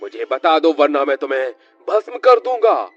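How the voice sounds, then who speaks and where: A man's voice: fairly high pitch, big pitch swings, normal speed. A man playing a stern, commanding mountain holy man who drives out spirits in a cartoon horror story. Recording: muffled lo-fi audio with music behind.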